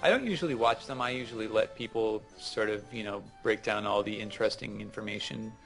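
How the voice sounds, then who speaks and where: A man co-hosting a gaming show, chatting casually and explaining things with hesitant pauses and fillers. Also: on the low side, gravelly, fairly bright tone, soft background music. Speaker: gaming co-host. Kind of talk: casual chat, game advice.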